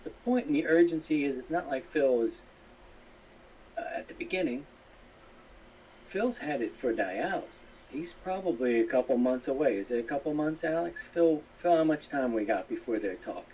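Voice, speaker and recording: A man's voice: mid-range voice, expressive, normal speed, mellow. A man talking casually on a live stream in an earnest, rambling, repetitive way. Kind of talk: casual live stream talk, appealing to fellow hams. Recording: distant mic with faint noise.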